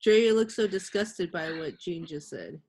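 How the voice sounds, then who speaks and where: A woman's voice: on the low side, unhurried, a little mellow. A young woman co-hosting a casual, joking group chat on a podcast. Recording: clearly noisy background.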